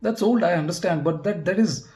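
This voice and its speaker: A man giving an unscripted product review as an audio gear reviewer, halting and often repeating his words and phrases. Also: high voice, a little mellow.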